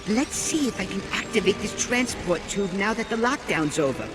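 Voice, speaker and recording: A small, prim alien scientist in a video game, very high and lively. He sounds a little fussy and nasal. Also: normal speed, music in the background.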